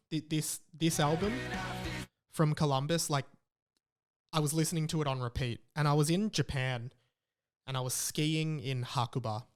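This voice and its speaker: A man's voice: medium pitch, clear, resonant tone. A young man with an Australian accent hosts a podcast solo, talking in a casual, candid and rambling way. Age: young adult. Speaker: podcast host.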